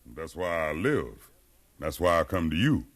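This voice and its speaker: An actor in a 1950s radio drama playing a determined, educated freed slave who leads rebels with fervent, persuasive speech. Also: male voice, very deep voice, big pitch swings, a little slow, full character voice. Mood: solemn, intense. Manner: fervent, persuasive, preaching cadence.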